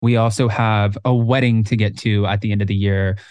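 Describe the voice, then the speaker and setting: Guy in his 20s cohosting a podcast, animated and chatty, voice jumps up when he quotes himself, dry sound. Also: on the low side, brisk, clear, resonant tone, dry recording. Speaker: podcast co-host. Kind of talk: casual chat.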